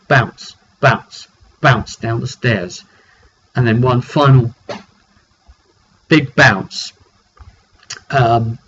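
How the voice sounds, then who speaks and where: Man, average pitch, informal tabletop storytelling, halting and improvised, lo-fi sound, faint noise. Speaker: game master recounting a tabletop session. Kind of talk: casual, improvised storytelling of a fantasy roleplay game. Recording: dull lo-fi audio with faint noise.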